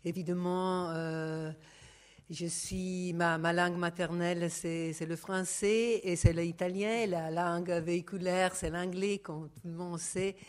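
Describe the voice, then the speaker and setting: A middle-aged Italian woman speaking French as a second language, opening an academic lecture in a careful, halting way. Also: on the low side, fairly even intonation. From Italy. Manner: careful, halting, apologetic about her French. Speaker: guest lecturer.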